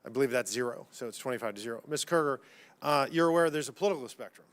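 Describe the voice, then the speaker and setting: A man's voice: medium pitch, expressive, very fast, fairly bright tone. A man speaking as a congressman at a hearing, questioning witnesses in a pointed, combative and folksy way. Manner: folksy, combative, uses y'all.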